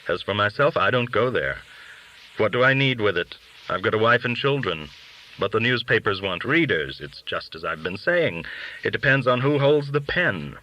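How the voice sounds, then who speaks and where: A man's voice: on the low side, animated, moderate pace, bright, forceful tone, muffled recording. A man reading an audiobook, voicing a worldly, cynical, boastful underworld dealer who talks in glib, argumentative rhetorical questions. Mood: scornful, argumentative. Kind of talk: audiobook character dialogue.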